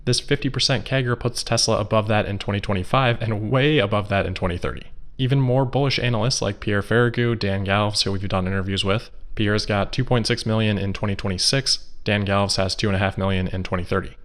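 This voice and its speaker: A man hosting a solo investor news podcast, giving scripted commentary in a measured, analytical, explanatory way. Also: lowish voice, steady tone, a bit bright.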